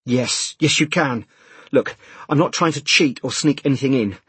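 A man's voice: mid-range voice, normal speed, slightly rough, no room sound, lightly acted. A man voicing a harried, exasperated man in an audiobook, speaking urgently and insistently. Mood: urgent pleading. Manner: emphatic, insistent. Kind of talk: audiobook character dialogue.

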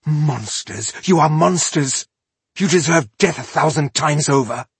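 A man's voice: fairly high pitch, animated, a little slow, creaky, husky, dry recording, voice pushed up, full character voice. A man voicing a fierce, defiant young woman rebel in a fantasy audiobook, speaking with urgent, accusing force. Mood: enraged.

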